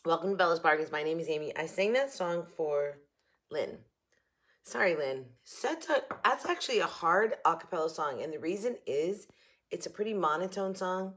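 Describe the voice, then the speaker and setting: A slightly hoarse woman in her fifties chatting casually to camera from her home kitchen, rambling and joking about herself as she hosts a shopping haul video. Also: fairly low pitch, lively intonation, normal speed, a bit bright. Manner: chatty, rambling, self-mocking. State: a little hoarse. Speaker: haul vlogger. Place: home kitchen, indoors, early morning.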